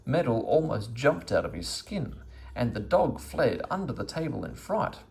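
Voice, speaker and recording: A man reading a children's story aloud as an expressive narrator. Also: medium pitch, moderate pace, creaky. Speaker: storybook reader.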